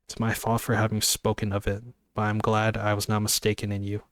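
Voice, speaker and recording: A man reading the lines of a reserved, gentle young woman from a classic novel, in his own flat voice. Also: fairly low pitch, a little fast, no echo, natural voice.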